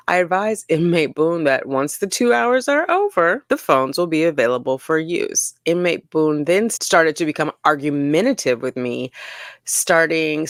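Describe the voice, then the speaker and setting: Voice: medium pitch, creaky, dry recording, studio quality. A commentary host giving a dramatic reading of reports, with sassy, theatrical delivery and casual asides.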